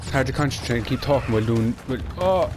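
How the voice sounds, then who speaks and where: A young Irish man chatting quickly and rambling while he plays through a platform game. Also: medium pitch, animated, background noise. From Ireland. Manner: fast, rambling, chatty with Irish phrasing like "class". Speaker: gaming channel host. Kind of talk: casual gameplay commentary. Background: game music.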